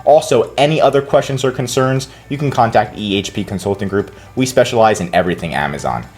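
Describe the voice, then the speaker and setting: A man's voice: lowish voice, animated, fast talker, soft background music. A man hosting a tutorial and giving casual step-by-step instructions as a consultant. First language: English. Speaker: consultant and channel host. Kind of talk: screen-recorded tutorial walkthrough.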